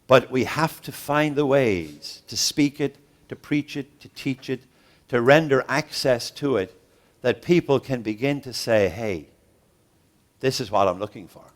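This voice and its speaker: A man giving an interactive lecture to a gathered audience, preacherly and rhythmic, with slow dramatic pauses and sudden rises, putting questions to the room. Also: medium pitch. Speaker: guest professor and speaker.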